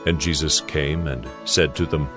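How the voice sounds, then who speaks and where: Deep male bible narrator, solemn and measured, music clearly behind. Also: steady tone. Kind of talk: solemn scripted scripture narration.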